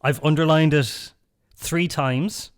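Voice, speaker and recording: A man's voice: fairly high pitch, fairly even intonation, takes it slowly. A man co-hosting a podcast in a wooden cabin, with dry teasing banter. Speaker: podcast co-host. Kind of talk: casual chat.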